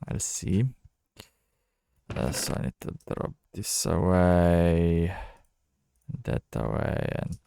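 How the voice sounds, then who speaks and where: A man giving casual, low-key game commentary, thinking out loud in halting phrases as he plays. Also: gravelly, slight background noise. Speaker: gamer narrating own playthrough.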